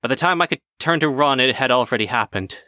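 A man's voice: mid-range voice, expressive, quick pace, bright, forceful tone, no room sound, clean studio sound. A man voicing a cantankerous, insult-hurling pensioner in his seventies, telling his story with indignant boasting. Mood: boastful. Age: over 70.

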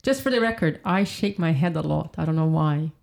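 A woman from Brazil, a podcast guest chatting casually, laughing often and filling pauses with "um". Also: deep voice, gravelly, darkish tone. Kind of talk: casual chat. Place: home in London.

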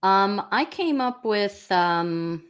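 A woman in her sixties with a deep voice, a comedian guest chatting casually, with hesitations and teasing. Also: slow pace, smooth. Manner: relaxed, hesitant, full of ums and teasing. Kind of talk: casual interview chat.

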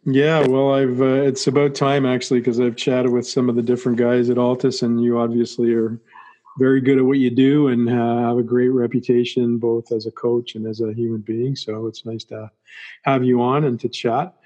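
Flat-toned, mellow male interviewer. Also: medium pitch, lo-fi sound. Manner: rambling, halting questions. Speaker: podcast host.

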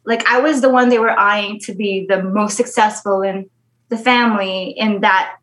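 A woman's voice: medium pitch, steady tone, moderate pace, a bit bright. A young woman from the Philippines, a guest talking candidly in a casual interview, with frequent laughs and a Filipino mother tongue. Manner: candid, chatty, laughs often.

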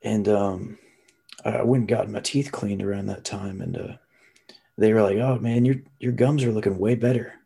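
A man's voice: fairly low pitch, fairly even intonation, normal speed, dark tone. A young man co-hosting a podcast, chatting casually in a slouched, drawling way. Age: young adult. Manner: gravelly hunched-over drawl he uses only when recording. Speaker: podcast co-host. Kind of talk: casual chat.

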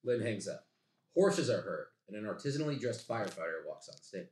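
Fast-talking man in an echoey room. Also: lowish voice, sing-song, a little mellow. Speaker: podcast guest and sketch writer. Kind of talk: casual chat and stage-direction reading.

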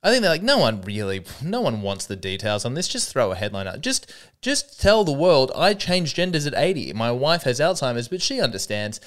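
A man's voice: medium pitch, very animated, brisk. A man hosts his own comedy podcast solo. His voice sits in a middle register but swoops up and down a lot as he jokes. He rambles with dry sarcasm and mocks himself along the way. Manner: sarcastic, rambling, self-deprecating.

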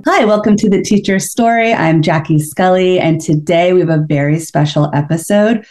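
A woman hosting an interview podcast, with a low, steady voice. She guides her guests with friendly questions, and faint music plays in the background. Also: moderate pace. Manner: warm scripted intro, then friendly prompting questions. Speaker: podcast host.

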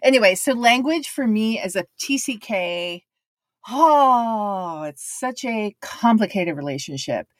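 A woman's voice: mid-range voice, lively intonation, unhurried, no echo, clean studio sound. A woman telling her own story in a casual, reflective way, wandering through thoughts with frequent fillers and restarts. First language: English.

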